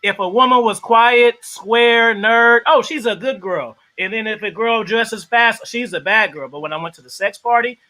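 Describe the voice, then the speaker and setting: A man hosting a casual livestream interview, animated and shouting out viewers in the chat. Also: high voice, moderate pace, few pauses, low-quality recording.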